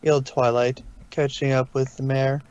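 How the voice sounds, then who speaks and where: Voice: mid-range voice, little pitch movement, normal speed, a little mellow, smooth, dry recording, muffled recording. A narrator reading a story aloud in a storybook style, sometimes stumbling over a word and correcting it. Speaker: narrator, fan fiction reader.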